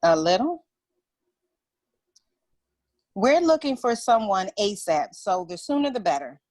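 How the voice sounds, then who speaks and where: A woman's voice: medium pitch, big pitch swings, unhurried, a little mellow, dry recording, clean studio sound. A woman playing a cool, polite businesswoman in her forties in a script table read. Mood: polite, reserved.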